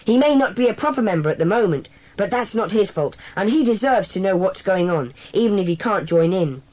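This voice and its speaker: A woman playing a brisk, decisive schoolboy who leads a secret club in a dramatised children's audiobook. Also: mid-range voice, lively intonation, darkish tone, close to an everyday voice, low-quality recording. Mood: brisk, commanding. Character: bossy, sensible schoolboy leader of a secret club.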